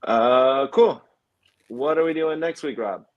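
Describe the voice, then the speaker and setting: A man co-hosting a casual film chat, talking in an informal, opinionated and halting way. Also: mid-range voice, muffled recording. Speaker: podcast co-host.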